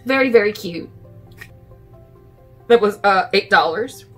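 Casting: middle-aged woman, bright forward voice, casual home haul-video chat, music underneath. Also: mid-range voice, lively intonation, a little slow. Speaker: vlogger. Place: furnished living room at home, indoors.

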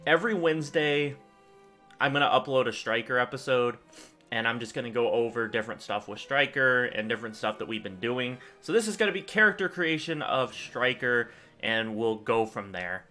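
Bright-voiced young man rambling over gameplay. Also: mid-range voice, moderate pace, faint music behind. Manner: rambling, thinking aloud, lively swings in loudness. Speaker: gaming channel host. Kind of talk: casual gameplay commentary. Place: small room at home.

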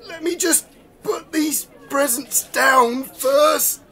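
An actor playing a weary, grumbling but dutiful manservant, laboured and muttering, in an audio drama. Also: male voice, very high-pitched, slow pace, sharp tone, heavily acted.

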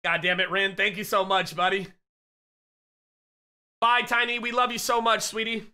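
Loud, profane man in his thirties greeting his chat on a headset, bright and high-voiced, voice going hoarse. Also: normal speed. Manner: loud, excitable, profane shout-outs to chat. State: losing his voice, getting sick. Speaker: streamer. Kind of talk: casual live-stream chat. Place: home streaming room.

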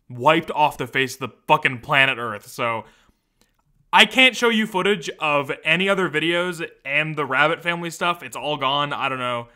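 A man's voice: on the high side, bright, forceful tone. A man delivering scripted, sarcastic video commentary in a wry, irreverent way, as a YouTube commentator.

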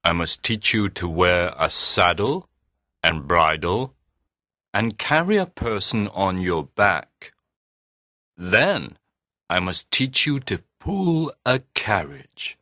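A man voicing a kind, patient horse owner who speaks calmly and deliberately. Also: low voice, no room sound, clean studio sound, voice pushed down, full character voice. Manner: calm, kindly, deliberate.

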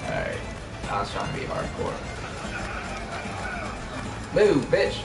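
A man's voice: lowish voice, huge pitch swings, slow pace, creaky, recorded from a distance. A young man casually commentating a video game with a friend, joking crudely and laughing often. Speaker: gamer. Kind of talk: casual let's-play commentary. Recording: roomy, off-mic, game music under.

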